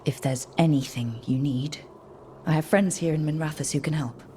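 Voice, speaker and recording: An actress playing a composed, direct and sympathetic adventurer in a video game. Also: female voice, low voice, moderate pace, dark tone, a little hiss or hum, lightly acted. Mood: earnest.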